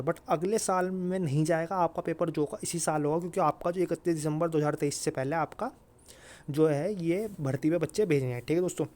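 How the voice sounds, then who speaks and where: A man's voice: fairly high pitch, a little mellow. A man with a North Indian accent explaining updates informally to viewers, repetitive and insistent, mixing in English words. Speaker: exam-update YouTuber.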